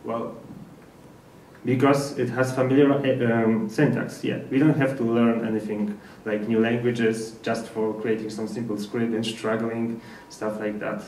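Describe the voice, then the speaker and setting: A man from Poland with a Polish accent gives an informal technical presentation in slightly halting non-native English. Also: mid-range voice, flat delivery, a little mellow. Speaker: speaker at a developer meetup. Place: indoor meetup presentation room. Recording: echoey room, distant mic, faint noise.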